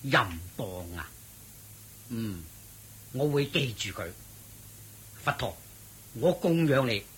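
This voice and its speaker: A man's voice: mid-range voice, sing-song, slow pace, fairly bright tone, a little hiss or hum. An actor playing a courteous nobleman who questions a holy teacher in a respectful, earnest way in a radio drama. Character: Prince Meda, courteous noble male lord. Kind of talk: Cantonese radio drama acting.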